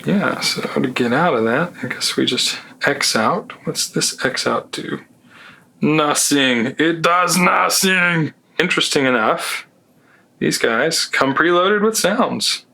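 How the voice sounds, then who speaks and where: A man's voice: on the high side, takes it slowly, creaky, sharp tone, breathy edge. A man doing a casual, wry walkthrough of an audio product, with sarcastic asides. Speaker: product reviewer.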